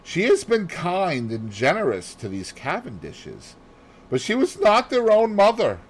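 A man voicing a fussy, excitable little Belgian detective in an audiobook, theatrical and precise, dropping in French phrases. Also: high-pitched, unhurried, husky, pitched above the performer's own voice, full character voice, poor audio. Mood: grave. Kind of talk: audiobook character voice.

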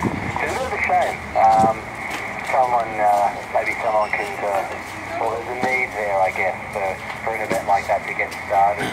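An Australian man talking casually over amateur radio, halting and thoughtful, heard through a radio speaker. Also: on the low side. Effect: radio transmission through a speaker. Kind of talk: casual two-way radio chat. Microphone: radio speaker picked up by camera. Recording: very noisy shortwave radio reception outdoors.

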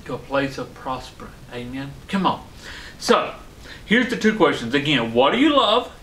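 A man's voice: mid-range voice, big pitch swings, normal speed, a bit bright, a little hiss or hum. A man in his forties, an online pastor preaching a sermon to camera, fervent and punctuating his lines with amen and hallelujah. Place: studio set with a dark backdrop, indoors. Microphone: fixed camera, seated at a medium distance. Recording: roomy studio echo.

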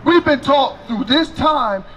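Middle-aged male street preacher, high voice, handheld mic outdoors, light street noise. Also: lively intonation, slow, muffled recording. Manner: loud, preaching with a handheld mic, questioning passersby. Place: city sidewalk outdoors by a fence.

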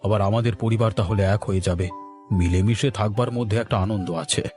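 A low male voice with soft music behind it, a man playing a kindly older father in a family cartoon. He talks calmly, as a concerned elder. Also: fast talker, natural voice. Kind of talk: Bengali family cartoon acting.

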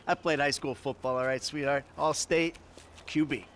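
An actor playing a cocky, condescending ex-jock husband in a video game cutscene, smug and patronising. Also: male voice, on the high side, fairly even intonation, normal speed, fairly bright tone, slight background noise, natural voice.

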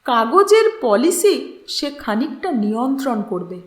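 A woman reads a literary story aloud in an expressive narrator's style. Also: high voice, takes it slowly. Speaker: channel host and story narrator. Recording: roomy, echoing voice recording.

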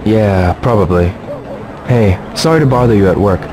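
An actor playing an earnest, polite teenage martial artist in a video game, with a stiff, reserved manner. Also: male voice, lowish voice, lively intonation, normal speed, background noise, close to an everyday voice. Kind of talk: English video game voice acting.

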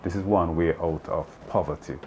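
A man's voice: low-pitched, steady tone, moderate pace, warm tone, a little hiss or hum. A Jamaican man in his fifties, a math teacher earnestly explaining his views in an interview, often saying "you know". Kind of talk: interview answers. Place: open veranda outdoors.

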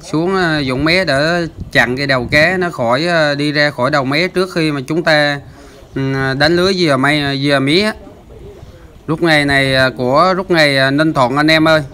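A man's voice: fairly high pitch, moderate pace, pushed and bright, clean tone. A man hosting a fishing channel, explaining the footage in a chatty voice-over with lots of filler sounds. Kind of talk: casual voice-over commentary.

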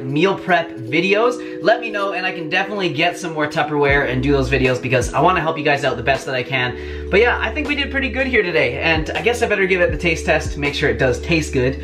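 Young man, casual cooking vlog host, upbeat and chatty, small home kitchen, music playing under the voice. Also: a little high. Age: young adult. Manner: upbeat, chatty, addresses viewers as "you guys".

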